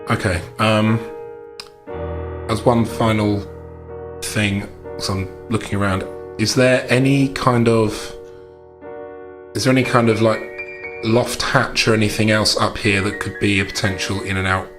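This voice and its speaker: A man with a fairly low voice takes his turn as a player in a tabletop game. He speaks casually, and guitar music is clearly audible behind him. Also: little pitch movement, unhurried.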